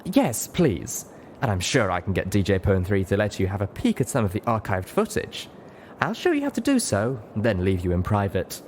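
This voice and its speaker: A man's voice: fairly low pitch, huge pitch swings, normal speed, darkish tone, slight background noise. A man voicing an affectionate, playfully seductive female unicorn with a coy, teasing manner, in an audiobook story. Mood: friendly, coy.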